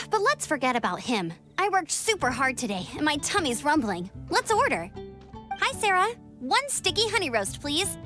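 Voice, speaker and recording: A woman's voice: high-pitched, big pitch swings, moderate pace, music in the background. An actress playing a perky, friendly teenage girl knight in a video game, with a peppy, earnest, girlish delivery. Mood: indignant. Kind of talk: video game cutscene voice acting.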